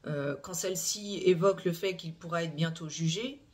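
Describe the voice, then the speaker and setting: A mid-pitched voice explaining a case step by step to an online audience. The talk is measured but hesitant, full of filler sounds, small restarts and tag words at the ends of phrases. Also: darkish tone. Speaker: true-crime channel host. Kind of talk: recounting a criminal case to viewers.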